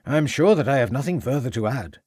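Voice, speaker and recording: A man voicing a loud, guarded male headmaster with a Welsh accent. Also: medium pitch, expressive, fairly quick, husky, no room sound, studio quality, pitched above the performer's own voice.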